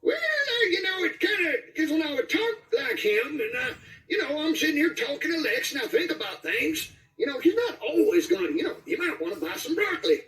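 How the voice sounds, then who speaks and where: Shrill, crazy old coot. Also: male voice, sing-song, bright, forceful tone, echoey room, far from the mic, exaggerated voice, low-quality recording. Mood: rambling, cranky. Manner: manic, sing-song drawn-out delivery. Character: crazy cackling old coot, explosive demolition fighter from a game.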